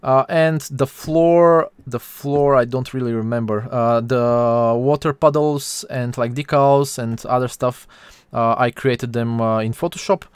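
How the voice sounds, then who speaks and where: A man with a Bulgarian accent hosts an informal live art lesson. His voice is mid-pitched and smooth, recorded very dry. He speaks haltingly, pausing often as he searches for words. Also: fairly even intonation, no room sound. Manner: halting, hesitant delivery with frequent pauses. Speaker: 3D artist hosting a stream.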